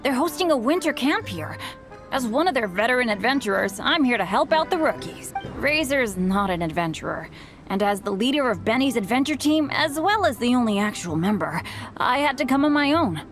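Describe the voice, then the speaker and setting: A woman's voice: fairly high pitch, sing-song, fairly quick, faint music behind. A woman voicing a cheerful, unlucky teenage boy adventurer in an anime game, earnest and upbeat. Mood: rueful.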